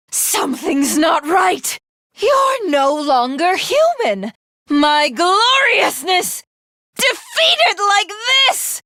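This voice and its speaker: A high-voiced, grandiose alien villainess from a video game, swings dramatically in pitch. She takes her time over condescending, theatrical lines, full of cruel self-worship and obsessive love. The sound is dry and close. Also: sharp tone, dry recording, exaggerated voice. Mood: outraged disbelief. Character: haughty, sadistic alien villainess, obsessively lovestruck, self-glorifying.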